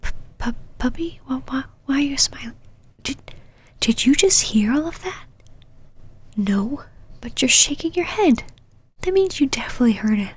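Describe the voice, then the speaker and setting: A woman voicing a possessive, secretly tender vampire knight in an intimate ASMR roleplay, whispering softly in a haughty yet halting, easily flustered way. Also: high voice, lively intonation, exaggerated voice. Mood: flustered, embarrassed. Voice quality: breathy, soft whisper.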